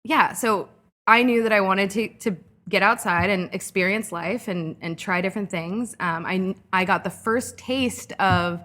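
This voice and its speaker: A woman in her thirties from California, a startup founder speaking as a guest in a podcast interview, reflective and animated in her anecdotes, with frequent fillers. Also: medium pitch, unhurried. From California, Orange County. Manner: reflective, lively when telling anecdotes, frequent "um" and "like". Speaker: guest entrepreneur.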